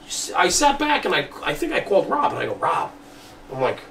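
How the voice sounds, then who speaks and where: A man's voice: on the high side, huge pitch swings, a little fast, gravelly, fairly bright tone. A man hosting a casual studio podcast, speaking in an earnest, emphatic way. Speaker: podcast host. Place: studio room. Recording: roomy, distant mic, faint background noise.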